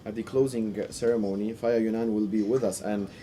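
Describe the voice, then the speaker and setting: Guy interpreting a panel live, lowish mellow voice, stops and restarts a lot then rushes ahead. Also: fairly even intonation, slight background noise. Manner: halting, with restarts and bursts of speed. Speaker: simultaneous interpreter.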